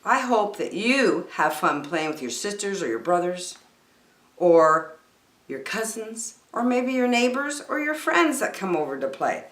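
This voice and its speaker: A woman's voice: medium pitch, sing-song, unhurried, fairly bright tone, reverberant. A woman hosting story time, reading a picture book aloud to young children expressively, with chatty asides and questions.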